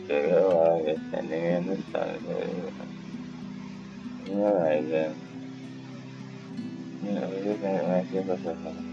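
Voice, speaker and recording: A man hosts a casual game playthrough in a slow, creaky voice. He mutters and thinks aloud over the game. The sound is a little dull, with clear music behind. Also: sits low, steady tone. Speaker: gaming host.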